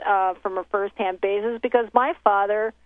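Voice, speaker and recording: A woman's voice: mid-range voice, steady tone, moderate pace, no echo. A woman from Haiti whose first language is Haitian Creole, a journalist guest on the phone who talks loosely with many restarts and fillers. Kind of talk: casual interview talk. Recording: tinny phone line.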